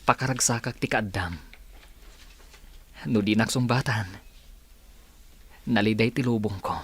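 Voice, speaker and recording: A man acting in an Ilocano radio drama as an earnest, lovestruck gentleman suitor who pleads his case. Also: on the low side, a little slow, breathy edge. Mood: earnest, pleading.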